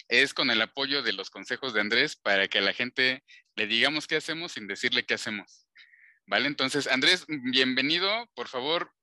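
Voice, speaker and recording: A man's voice: medium pitch, pushed and bright, no echo. A man hosting a live interview, chatty and informal. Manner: chatty, informal, with conversational tags. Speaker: interview host.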